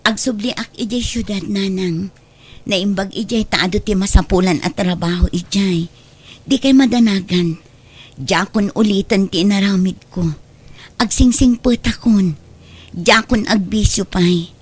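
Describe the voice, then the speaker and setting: A woman's voice: mid-range voice, a little slow, breathy edge. A woman playing a repentant, tender young mother in a radio drama, with an earnest, emotional delivery. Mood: earnest, reassuring.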